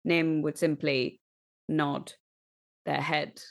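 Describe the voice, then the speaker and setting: A woman playing a tabletop roleplaying game, talking through ideas and scenes in measured, reflective phrases. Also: sits low, flat delivery, creaky. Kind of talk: thoughtful conversational game talk.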